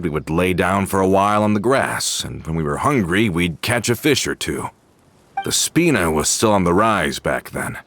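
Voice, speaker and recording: An actor voicing an older, loyal crew member who talks with an easygoing, nostalgic manner. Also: male voice, sits low, a bit bright. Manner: wistful, easygoing. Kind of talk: video game voice acting.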